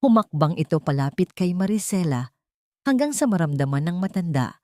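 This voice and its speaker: A woman's voice: fairly low pitch, lively intonation, normal speed, warm tone, no room sound, clean studio sound. A woman reading a dramatic scripted story as its narrator.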